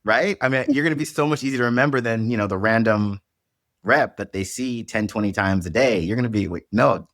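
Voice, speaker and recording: A man's voice: fairly low pitch, brisk, fairly bright tone, dry recording, clean studio sound. Encouraging man hosting a podcast. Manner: encouraging, repeats words when starting. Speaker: podcast host. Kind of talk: podcast interview.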